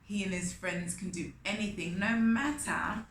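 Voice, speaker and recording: A woman hosts a children's storytime, reading aloud at a very leisurely pace. She is expressive and often turns to her young listeners with questions. The room echoes, with faint noise behind her. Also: mid-range voice, measured pace. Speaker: storytime host reading a picture book aloud. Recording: roomy echo, faint background noise.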